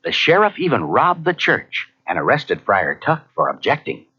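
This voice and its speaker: A man narrating a children's read-along story in a dramatic, expressive, scripted style. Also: mid-range voice, moderate pace, slight background noise. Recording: muffled old cassette, music behind.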